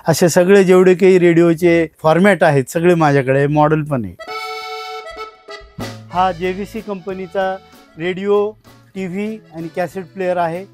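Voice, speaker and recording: Higher-pitched man explaining. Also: fairly even intonation, normal speed, darkish tone, faint music behind. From India. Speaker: radio collector being interviewed. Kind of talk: informal explanatory talk showing a collection.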